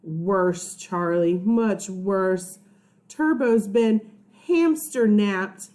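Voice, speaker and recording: A woman's voice: mid-range voice, extremely slow, dark tone. A woman voicing an earnest, dramatic schoolboy would-be superhero in a children's storybook, with emphatic delivery. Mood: grave, indignant.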